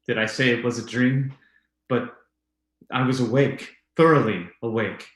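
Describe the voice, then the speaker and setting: An earnest widower , acted by a man, speaks with halting intensity, as if haunted. The delivery is formal and theatrical, in old-time radio drama style, with a clearly echoing room around the voice. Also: mid-range voice, natural voice. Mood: awed, intense. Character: earnest, haunted bereaved husband. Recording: roomy, echoing sound.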